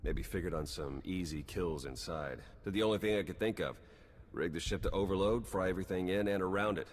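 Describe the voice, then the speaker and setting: An actor playing a wry, battle-worn spy in a video game, talking casually in clipped sentences. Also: male voice, sits low, brisk. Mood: wry, weary. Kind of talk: dramatic video game dialogue. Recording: long reverb, background hum and ambience.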